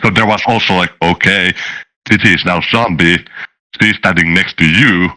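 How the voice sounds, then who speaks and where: A man's voice: sits low, moderate pace, bright, forceful tone, poor audio. A man telling game-master anecdotes casually on a podcast, circling back and repeating phrases as he goes.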